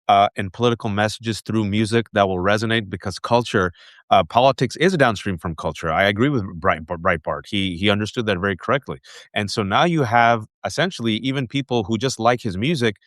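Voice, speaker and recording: A man in his forties hosts a conversational interview. He tells lively personal stories, often stopping for asides. His voice sits mid-range, and it is recorded dry with no room echo. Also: medium pitch. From United States. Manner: animated storytelling with asides. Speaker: host.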